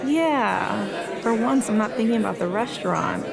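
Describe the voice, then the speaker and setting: A woman's voice: mid-range voice, expressive, moderate pace, gravelly, over loud music, over a noisy crowd. A woman playing a young Mexican-American restaurant worker, playful, self-effacing and smitten, in a romantic audio drama. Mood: teasing.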